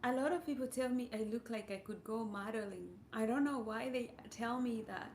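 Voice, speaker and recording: A woman's voice: fairly high pitch, moderate pace, darkish tone, roomy sound, slight background noise. A woman hosting a livestream, chatting casually and playfully with viewers, teasing and laughing often. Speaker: livestream host.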